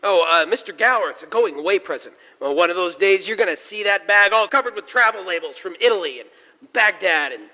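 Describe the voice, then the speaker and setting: A man's voice: sits high, lively intonation, brisk, sharp tone, exaggerated voice. An actor playing a restless, idealistic young man, earnest and stammering, in a 1940s style radio drama. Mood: eager. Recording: reverberant church hall, live stage.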